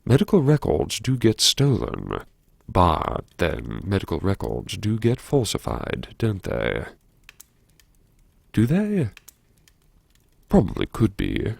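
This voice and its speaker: A man performing a first-person audiobook monologue as a brooding, wry character who anxiously lectures himself in a theatrical, musing way with dramatic pauses. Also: sits low, huge pitch swings, gravelly, breathy edge, no room sound, heavily acted. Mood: wry, deliberating. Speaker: audiobook narrator.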